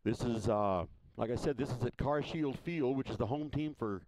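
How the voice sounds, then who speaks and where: A man broadcasting a high school baseball game from a ballfield press box, talking in a folksy, unhurried way with many fillers and restarts. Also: noisy background. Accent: Missouri. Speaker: play-by-play broadcaster. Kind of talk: casual local sports broadcast.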